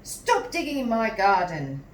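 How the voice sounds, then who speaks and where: A woman voicing cross, scolding dog owners in a children's storybook, with curt, loud commands. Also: medium pitch, sing-song, a little slow. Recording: roomy echo, distant mic, some noise.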